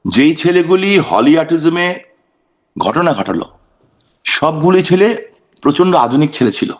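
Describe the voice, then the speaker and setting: Male political talk-show guest, Bangladeshi accent, slightly high voice; emphatic, rhetorical, repeats for stress. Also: sing-song, a little slow. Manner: emphatic, rhetorical questions, repeats phrases for stress, mixes in English words. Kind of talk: impassioned political talk in an interview.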